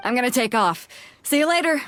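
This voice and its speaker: An actress voicing a confident, upbeat young woman who runs a ship in a video game, brisk and friendly. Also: high-pitched, lively intonation, fairly bright tone.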